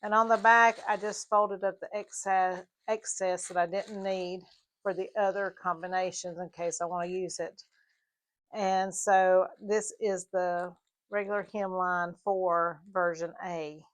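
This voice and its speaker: A slightly hoarse woman with a Southern US mountain accent, explaining her sewing project in a casual, halting tutorial with fillers. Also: on the low side, flat delivery. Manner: halting, with frequent fillers and restarts. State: a little hoarse. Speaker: sewing vlogger.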